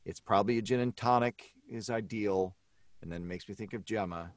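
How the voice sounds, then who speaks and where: A man's voice: fairly low pitch, brisk, no echo. A middle-aged man chatting casually as a guest on a livestream panel. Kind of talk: casual chat.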